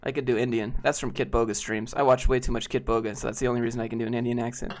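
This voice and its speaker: Soft-voiced man chatting casually on stream. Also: mid-range voice, rapid-fire. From Southern United States. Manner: relaxed, rambling, laughs often, many fillers. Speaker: streamer and host. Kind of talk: casual live-stream chat.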